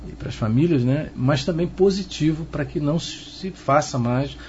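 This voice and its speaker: Didactic Carioca man, muffled noisy recording. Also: darkish tone. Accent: Carioca Brazilian Portuguese. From Rio de Janeiro, Brazil. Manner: measured, didactic, often seeking listener's assent. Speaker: interviewed expert, professor of security. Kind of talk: explanatory interview answers. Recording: muffled low-fi sound, noticeable background noise.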